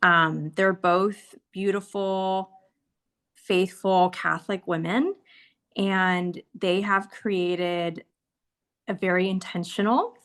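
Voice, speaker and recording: A woman in her forties from California, sharing her experience of a course in a casual, candid way, with frequent laughs and fillers. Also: medium pitch. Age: 40s. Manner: warm, candid, laughs often, many fillers. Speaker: course member sharing a testimonial. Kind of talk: casual testimonial on a video call.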